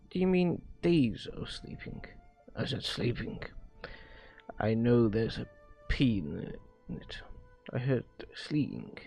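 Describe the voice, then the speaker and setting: Halting, gravelly man reading game dialogue aloud. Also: mid-range voice, dark tone. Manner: halting, stumbles and rereads. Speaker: streamer.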